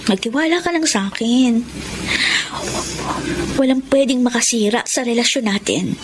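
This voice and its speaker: An actress playing a young woman in a live-in relationship, speaking softly and hesitantly in a radio drama. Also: a little high, lively intonation, normal speed, noisy background, natural voice. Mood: pleading. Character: young woman Rhea, guarded, pleading.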